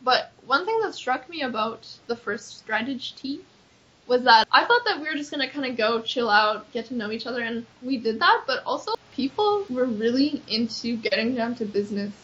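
A woman's voice: fairly high pitch, lively intonation, normal speed, a bit bright. A young adult woman, an activist answering interview questions in an earnest, chatty way with frequent "like" fillers. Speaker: accessibility activist. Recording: slightly dull lo-fi recording.

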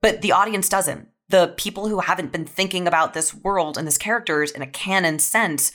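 Female podcast guest, writer, low register, conversational and emphatic. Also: moderate pace, a bit bright. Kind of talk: casual panel discussion.

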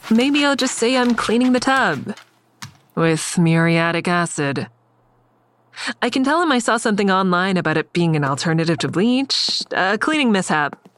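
An actress playing an observant, wry, secretive woman who narrates her own story in an audio drama, measured and descriptive. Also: medium pitch, lively intonation, fairly bright tone, slight background noise, natural voice. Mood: wry, uneasy. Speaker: narrator and lead character.

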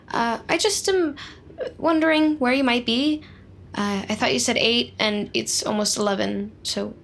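An actress playing an awkward, resentful young office woman in an audio drama, with a halting, exasperated delivery. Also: fairly high pitch. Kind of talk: naturalistic audio drama acting.

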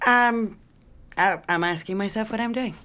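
A woman acting a tender lover in an intimate audio drama, hushed, halting and breathy. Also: mid-range voice, natural voice. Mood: nervous, hushed. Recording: loud music over the voice.

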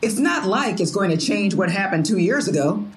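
A woman's voice: medium pitch, animated, normal speed, close to an everyday voice. A woman playing a skeptical, exasperated member of a survivors' group in an audio drama, questioning and doubtful. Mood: doubtful, frustrated.

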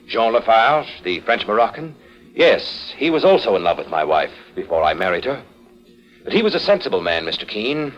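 An actor playing a bitter, self-assured widowed husband, speaking with formal insistence in a 1940s radio drama. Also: male voice, fairly low pitch, big pitch swings, normal speed, soft background music. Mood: explaining, composed. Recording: muffled vintage 1940s radio recording.

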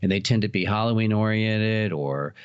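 A low, deep male voice of middle age, talking casually as a guest in an interview. He explains at length in a chatty storytelling way, and the sound is dull and muffled. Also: moderate pace, clean tone, dry recording. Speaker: podcast guest, horror attraction and trading card company founder.

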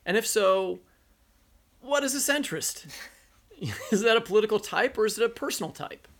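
Male American podcast co-host, fairly high voice with plenty of fry, conversational delivery. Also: lively intonation, normal speed, fairly bright tone.

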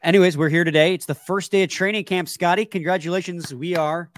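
A man's voice: high voice, steady tone, quick pace, a little hiss or hum. A man with a stuffy, congested voice, hosting a casual sports podcast with laid-back, joking banter. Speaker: podcast co-host.

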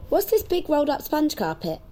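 A woman's voice: high voice, sing-song, fairly quick, warm tone. An actress playing a guileless, quirky woman full of blunt curiosity and childlike wonder in an audio drama. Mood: curious wonder. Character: wide-eyed, quirky, guileless woman, curious about everything.

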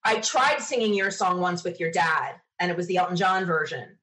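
A woman's voice: on the low side, animated, moderate pace, fairly bright tone, poor audio. A woman from the United States chatting enthusiastically as a podcast interview guest, telling stories in a casual way. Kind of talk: casual interview chat, animated anecdotes.

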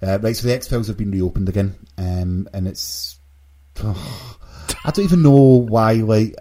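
A man's voice: on the low side, a little slow, warm tone. A man hosting a casual podcast, reading out news and giving his opinions in a dry, blunt way. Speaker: podcast host.

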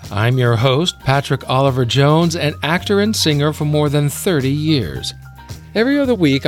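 A middle-aged man hosting a podcast, moving between prepared narration and interview talk. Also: medium pitch. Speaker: podcast host.

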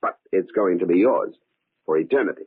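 An actor playing a cold, scheming murderer in an old-time radio drama. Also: male voice, low-pitched, lively intonation, brisk, slightly rough, dry recording. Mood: cold, gloating. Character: cold, scheming male murderer, gloating then feigning grief. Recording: tinny, band-limited old radio recording.

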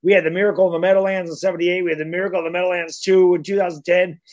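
A man co-hosts a casual sports podcast with blunt, joking banter. His voice sits fairly high for a man. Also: little pitch movement, racing, crowd noise. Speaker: podcast co-host.

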